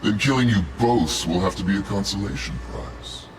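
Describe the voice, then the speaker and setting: An actor playing a calm, threatening villain leader in a video game. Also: male voice, on the low side, moderate pace, very noisy, close to an everyday voice. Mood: menacing. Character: cold menacing male villain leader. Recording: game score under the voice.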